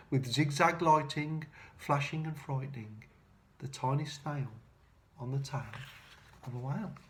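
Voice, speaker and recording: Man reading a rhyming storybook expressively. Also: mid-range voice. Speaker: children's storyteller. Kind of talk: expressive read-aloud of a rhyming picture book.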